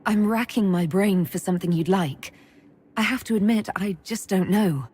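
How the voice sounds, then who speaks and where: An actress voicing an earnest noblewoman who leads, speaking in a calm, sincere way. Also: medium pitch, moderate pace, slightly rough, lightly acted. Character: noble human woman leader, earnest.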